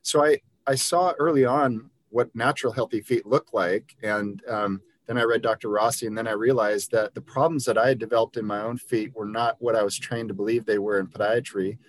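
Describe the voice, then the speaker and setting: A man's voice: fairly low pitch, steady tone, fairly quick, few pauses, slight background noise, rough recording. A man, a podiatrist guest on an interview podcast, explaining his views in an earnest, conversational way with frequent ums and restarts. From Liberia.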